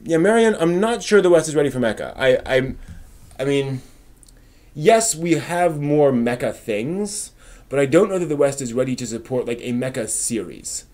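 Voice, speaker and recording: Halting male live-stream host, thinking aloud. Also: mid-range voice, expressive, a little mellow. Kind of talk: casual live-stream chat about anime, answering viewers' comments.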